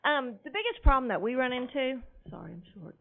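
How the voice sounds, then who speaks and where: A school principal, a woman, speaking loudly and frankly to a school board. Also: high voice, a little fast, gravelly. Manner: loud, lively and frank. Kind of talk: speaking before a board meeting. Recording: tinny lo-fi meeting audio.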